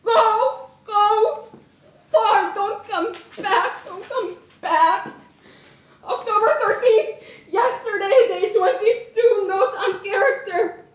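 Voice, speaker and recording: A woman's voice: very high-pitched, slow pace, reverberant. An actress playing a young woman who reads her diary notes aloud and breaks into laughing sobs. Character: young woman actress unraveling under a harsh coach. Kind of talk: audio drama acting.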